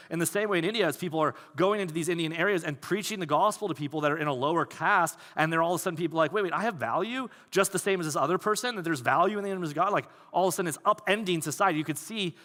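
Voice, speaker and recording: Bright-voiced pastor in a reverberant hall. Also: male voice, fairly high pitch. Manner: conversational, animated, acts out quoted voices. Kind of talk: sermon.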